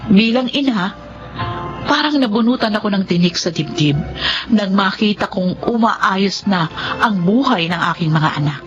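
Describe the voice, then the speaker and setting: A woman's voice: mid-range voice, animated, moderate pace, lo-fi sound, over music, lightly acted. An actress playing a humble, heartfelt mother in a Filipino radio drama, narrating earnestly with a Filipino accent. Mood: grateful relief. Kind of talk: radio drama acting and first-person narration.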